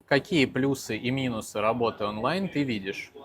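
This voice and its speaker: A man acting as interviewer, reading out short questions in a plain, even way. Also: mid-range voice, moderate pace.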